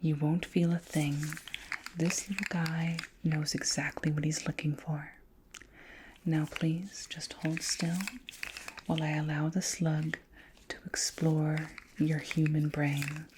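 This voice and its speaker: A woman voicing a curious, flirty alien queen in a whispered ASMR roleplay, breathy and close to the microphone, with formal, musing speech. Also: sits low, flat delivery, noisy background. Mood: soothing, clinical. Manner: slow, deliberate, formal wording with musing hums. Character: flirty alien queen, curious, doting, condescendingly fascinated by humans.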